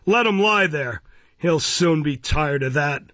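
A man's voice: a little high, animated, unhurried, sharp tone, dry recording, dull audio, exaggerated voice. A man voicing an irascible, pompous, bullying police magistrate in an audiobook, barking his words with contempt. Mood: scornful anger. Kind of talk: dramatised audiobook reading of a classic novel.

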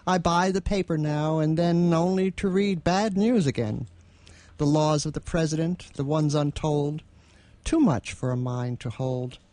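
A man's voice: on the high side, a little slow, a little mellow, no room sound. A man co-hosting a radio show, speaking in conversation and reciting verse. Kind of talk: conversational responses and poetry recitation.

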